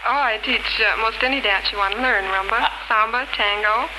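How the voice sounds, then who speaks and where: A woman's voice: a little high, normal speed. A woman answering questions casually as a contestant on a 1940s radio quiz show. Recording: very noisy 1940s radio broadcast recording.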